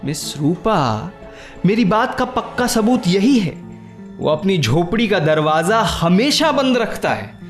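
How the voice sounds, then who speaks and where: A man's voice: sits high, very animated, slow pace, soft background music, heavily acted. An actor in a Hindi radio play playing a sly young man who goads and insists theatrically. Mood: insistent, persuading. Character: sly, goading young man exposing a rival's secret.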